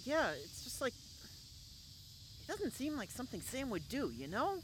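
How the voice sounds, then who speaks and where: Earnest young female camper, high and very animated pitch, casual drama dialogue, clear cricket noise. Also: a little slow. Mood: hesitant doubt. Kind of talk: naturalistic audio drama dialogue.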